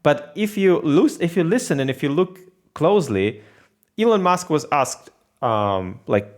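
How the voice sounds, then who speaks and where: Excitable man. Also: fairly high pitch, unhurried. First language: Russian. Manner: enthusiastic, rambling rants. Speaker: podcast host. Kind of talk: casual podcast chat.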